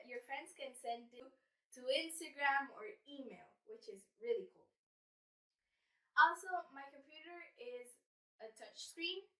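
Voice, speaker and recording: A woman's voice: fairly high pitch, bright, forceful tone. A teenage female student who speaks English as a third language, chatting informally in a casual vlog of tips, with plenty of filler words like "basically". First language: not English, which is a third language. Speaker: vlog host. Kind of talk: casual vlog giving study app tips. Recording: roomy echo, distant microphone.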